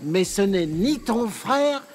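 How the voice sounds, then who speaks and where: A man playing an excitable, dim-witted sultan in a children's cartoon, hesitating and exclaiming. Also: high voice, slow. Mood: gleeful. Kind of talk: animated children's cartoon acting.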